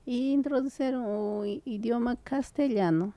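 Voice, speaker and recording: A woman's voice: fairly high pitch, normal speed, warm tone, no echo. A woman from Peru with a Peruvian Spanish accent, chatting in Portuguese as a co-host, hesitant and searching for words. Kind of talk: casual conversation.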